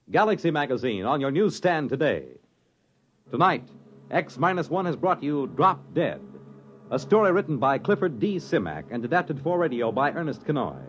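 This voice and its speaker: An American man announcing scripted credits and promotions in 1950s radio style. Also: mid-range voice. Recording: dull vintage radio broadcast. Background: music.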